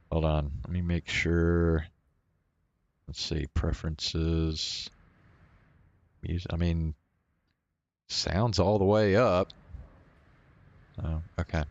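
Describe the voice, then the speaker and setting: A man's voice: sits low, steady tone, slow pace, pauses often, no room sound, muffled recording. A man hosting a game stream, chatting casually and reading visual novel narration aloud. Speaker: streamer, host. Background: faint game music.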